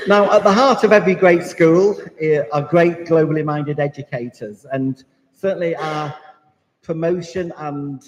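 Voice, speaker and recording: A man hosting a formal school celebration, giving effusive introductions to the speakers. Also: a little high. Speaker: event host. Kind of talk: formal event hosting speech. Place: large hall. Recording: hall reverb.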